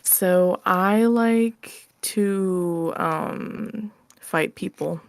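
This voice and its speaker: Lowish-voiced woman chatting casually. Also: drawn-out words, creaky. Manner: giggly, candid, self-deprecating. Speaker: interview guest, audio roleplay creator. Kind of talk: casual chat. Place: online voice call.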